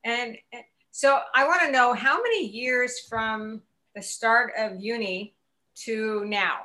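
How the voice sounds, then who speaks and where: Bright-voiced woman in her sixties, encouraging. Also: a little high, takes it slowly, poor audio. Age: 60s. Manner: enthusiastic, encouraging, motivational. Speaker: podcast host. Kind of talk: interview chat.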